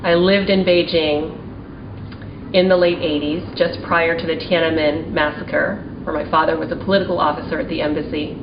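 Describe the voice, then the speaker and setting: A forty-year-old American woman giving prepared testimony as a small business owner, in an earnest, deliberate, formal manner. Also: sits low, steady tone. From United States (Maryland), partly raised in Taiwan and China. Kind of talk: prepared advocacy testimony speech. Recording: roomy, distant mic, dull sound, faint noise.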